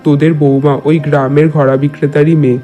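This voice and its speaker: A man voices a village holy man in a cartoon folk tale. He is sure of his own prophecies, speaking in a mellow, very even voice pitched slightly high. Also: quick pace, faint music behind, natural voice. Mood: adamant. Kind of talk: Bengali moral-tale cartoon dubbing.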